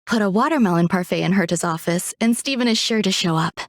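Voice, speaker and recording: A serene, aloof genius scientist from a video game, played by a woman. She is a refined woman with a medium-pitched voice, speaking with poised, poetic detachment. The sound is very dry, with no room around it. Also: dry recording, natural voice. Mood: detached, composed. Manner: composed, poetic, measured, coolly detached. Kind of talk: video game character voice lines.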